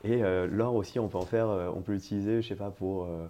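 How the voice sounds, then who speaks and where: Fast-talking low-voiced French podcast host. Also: male voice, fairly even intonation, warm tone. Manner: chatty, full of fillers, informal. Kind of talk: podcast interview.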